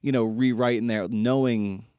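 A man's voice: medium pitch, steady tone, normal speed, warm tone, no echo. A man hosting a podcast, chatting casually in a rambling, informal way with playful asides. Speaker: podcast host. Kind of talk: casual interview and outro chat.

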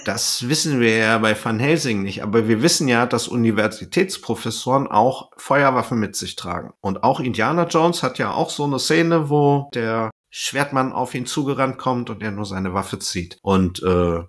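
A man's voice: mid-range voice, normal speed, hardly any pauses, fairly bright tone. A man co-hosting a casual podcast, summarizing an audio drama's plot from his notes in a dry, wry way. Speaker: podcast co-host.

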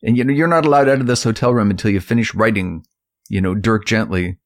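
A man's voice: fairly low pitch, quick pace. A man co-hosting a podcast, talking casually with an enthusiastic, admiring manner. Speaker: podcast co-host.

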